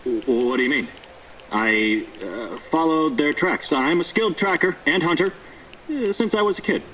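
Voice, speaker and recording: A man's voice: on the high side, animated, normal speed, fairly bright tone, recorded from a distance, a little hiss or hum, rough recording. An actor playing a shifty, pleading male survivor in a video game, hesitant and evasive. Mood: nervous, evasive. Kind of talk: video game character acting.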